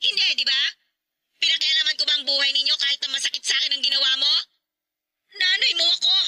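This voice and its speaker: A bitter, disapproving mother in a radio drama. Her voice is high and piercingly bright, full of sarcastic questions and scorn, recorded dry and close. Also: fast talker, no room sound. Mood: scornful, aggrieved. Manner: sharp, sarcastic rhetorical questioning. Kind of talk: Tagalog radio drama acting.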